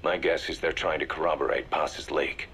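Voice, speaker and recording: A man playing a grave, calculating intelligence briefer for mercenaries, measured and serious, in a video game audio drama. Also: very deep voice, fairly even intonation, fairly bright tone, slightly rough, heavily acted.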